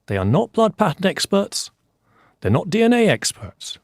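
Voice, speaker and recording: An older man from Scotland, a forensic scientist, answering interview questions in a measured, explanatory way and repeating key points for emphasis. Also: high voice, big pitch swings, no echo, clean studio sound.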